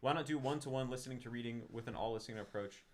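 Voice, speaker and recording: Fast-talking man in a casual livestream chat. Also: medium pitch. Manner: rapid, talking in bursts with many restarts. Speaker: language-learning streamer. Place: room in Tokyo, live stream.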